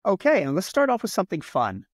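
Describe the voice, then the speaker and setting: A man's voice: high-pitched, huge pitch swings, fast talker, no echo, studio quality. A man hosting a podcast, giving an upbeat, read introduction of his guest. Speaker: podcast host.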